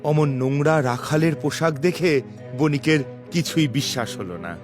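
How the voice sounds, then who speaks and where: A man's voice: fairly high pitch, normal speed. A man narrating a scripted fairy tale as a dramatic storyteller. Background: music.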